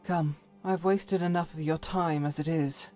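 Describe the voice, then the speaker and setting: A stern, devout female crusader from a fantasy game, formal and solemn with doubt underneath. Her voice sits low and she takes her time over each phrase, with faint music behind her. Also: steady tone, unhurried, darkish tone. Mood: guarded, resolute. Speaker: voiced follower character in a fantasy game mod. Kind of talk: scripted dramatic game dialogue.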